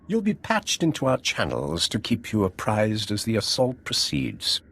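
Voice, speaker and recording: An actor playing a deferential Imperial military officer in a video game. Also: male voice, lowish voice, huge pitch swings, normal speed, vocal fry, fairly bright tone, breathy edge, full character voice.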